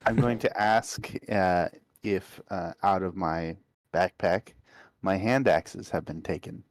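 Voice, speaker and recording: A man plays a tabletop game, talking casually and haltingly as he thinks aloud. His voice sits a little low. The recording is dry, with very little room sound. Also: no echo.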